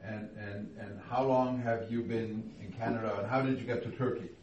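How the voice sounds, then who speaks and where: A man hosting a church interview, speaking haltingly, with many fillers and restarts as he introduces and questions a guest. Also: on the low side, fairly even intonation, a little mellow. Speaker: host, interviewer. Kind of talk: casual interview. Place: church hall. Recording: echoey room, distant mic, faint noise.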